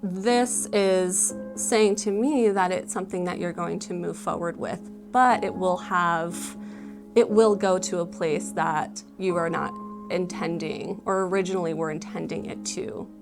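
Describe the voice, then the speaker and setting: A woman's voice: lowish voice, fairly even intonation, unhurried. A woman giving a casual tarot reading, explaining the cards in a conversational way with lots of filler words. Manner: conversational, explanatory, often hedging with "like" and "you know". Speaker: tarot card reader. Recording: music playing behind the voice.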